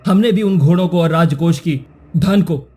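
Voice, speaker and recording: A man playing a righteous young prince in a cartoon moral story, earnest and formal, with a husky voice. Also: a little high, normal speed, dark tone, lightly acted. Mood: earnest explaining. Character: righteous young prince defending a falsely accused girl.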